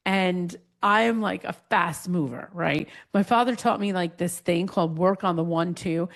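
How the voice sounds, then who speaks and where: Fast-talking woman hosting a chat. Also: fairly low pitch. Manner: quick, lively storytelling with many "like" fillers. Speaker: podcast host. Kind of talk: casual interview chat.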